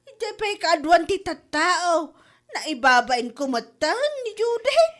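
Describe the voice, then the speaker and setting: A woman's voice: very high voice, very animated, slow, heavily acted. A woman with an Ilocano accent playing a hot-tempered, nagging wife in a comedy drama, exclaiming and complaining. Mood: embarrassed, upset.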